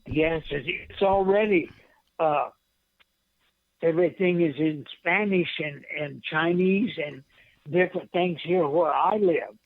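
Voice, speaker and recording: An older man, a guest author and poet, talking in a plain, halting way over a narrow phone connection. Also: a little high, no echo. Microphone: telephone call. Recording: narrow, tinny phone line.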